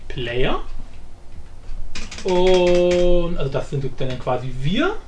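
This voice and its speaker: A man hosting a casual, unscripted software tutorial, thinking aloud informally and repeating himself. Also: high-pitched, big pitch swings, extremely slow. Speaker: tutorial host. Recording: roomy echo with faint background noise.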